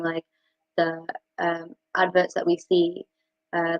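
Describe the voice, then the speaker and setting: A British woman in her twenties with a fairly low voice that stays very even. She speaks in an earnest, discursive way, reflecting on personal experience in a panel discussion. The sound is close and dry but dull, like a video call. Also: creaky, no room sound. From United Kingdom. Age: 20s. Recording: muffled lo-fi video call audio.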